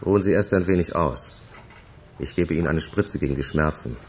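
A matter-of-fact male doctor in a radio crime play. His voice is very low and his manner authoritative and clinical. Faint noise sits behind him. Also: brisk, a little mellow. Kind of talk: German radio crime drama acting.

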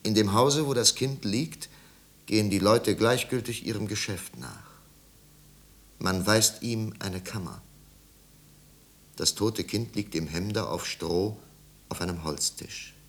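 Solemn storyteller, slightly deep voice, scripted 1950s radio drama narration, measured, dramatic phrasing. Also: male voice. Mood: grave, measured. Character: grave male narrator of a tragic literary tale.

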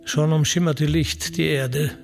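A man's voice: on the high side, fairly even intonation, a little slow, gravelly, over music. A man narrating epic verse in a solemn, formal, declamatory storytelling manner.

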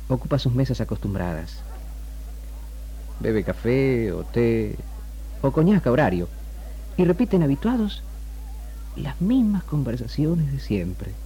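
An actor with an Argentine accent playing a lonely, melancholy man narrating a letter to a lost love in a 1960s radio drama, with measured, literary phrasing. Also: fairly low pitch, mellow, poor audio. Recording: 1960s radio recording, music underneath. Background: faint music.

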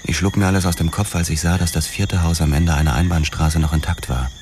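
An actor playing a police detective who narrates a grim crime radio drama in a sombre, deliberate, terse way. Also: male voice, low-pitched, fairly even intonation, noisy background, natural voice. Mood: grim, tense. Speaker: first-person narrator.

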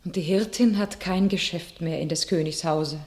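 Actress voicing a young holy warrior maid, devout, proud, solemn verse, radio drama. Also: female voice, on the low side, moderate pace, a little mellow, natural voice. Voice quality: solemn, declamatory. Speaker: actor in a radio play.

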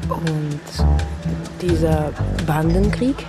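Radio drama: young female newspaper trainee, lowish voice, polite and curious, strong music bed. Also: very slow. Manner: hesitant, questioning. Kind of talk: German radio crime drama acting.

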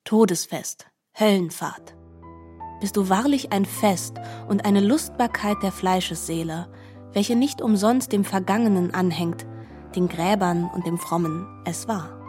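Actress as a mellow, musing female narrator. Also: mid-range voice, a little slow, faint music behind, lightly acted. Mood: solemn, musing. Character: solemn, musing female narrator of a mythic novel. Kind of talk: literary radio drama narration.

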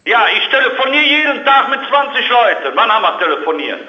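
Brusque man, very sharp high voice. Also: very animated, a little fast. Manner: brusque, gruff and impatient. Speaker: roofer receiving a prank call. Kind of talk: impatient phone conversation. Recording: echoey phone call played back.

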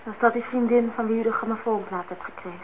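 A woman's voice: on the high side, fairly even intonation, fast, lightly acted. An actress playing a cheeky, probing young woman in a radio play, mocking her elders with pointed, formal questions. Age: young adult. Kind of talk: Dutch radio play acting. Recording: tinny, noisy old radio recording.

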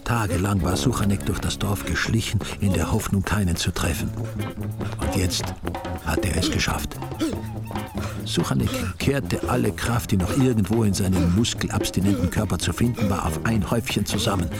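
A man's voice: on the low side, moderate pace, over loud music. A man narrating a story with dry, ironic humor. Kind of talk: wry storytelling reading.

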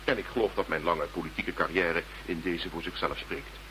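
An actor playing an indignant, stately veteran politician in a radio play, formal, emphatic and pompous. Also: male voice, low voice, fairly even intonation, normal speed, lightly acted. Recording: slightly noisy radio drama recording.

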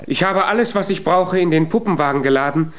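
An actor playing a small, earnest, naive young giant in a German children's radio play. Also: male voice, on the high side, a little fast, a bit bright, lightly acted. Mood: solemn, determined.

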